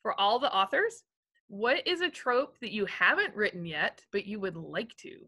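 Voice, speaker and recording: A woman with a bright, forceful voice moderates a panel over a video call. She speaks in measured phrases with frequent ums. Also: medium pitch, animated. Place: home room, video call.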